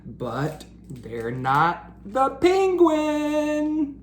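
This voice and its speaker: A woman voicing an eager, excitable young male cat from a picture book, with childlike, exclaiming enthusiasm. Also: high-pitched, drawn-out words, echoey room, a little hiss or hum, pitched above the performer's own voice, heavily acted.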